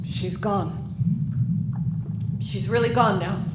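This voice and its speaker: An actress playing an obsessed, grieving, proud submarine captain with an intense commanding manner in a live radio drama. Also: female voice, medium pitch, slow pace, heavy background noise, natural voice. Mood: broken, subdued. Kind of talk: live audio drama acting. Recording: echoey live hall with loud music.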